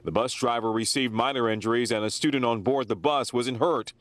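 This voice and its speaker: A man's voice: mid-range voice, fairly even intonation, fairly quick, no room sound. A man with an American accent delivering a scripted television news report.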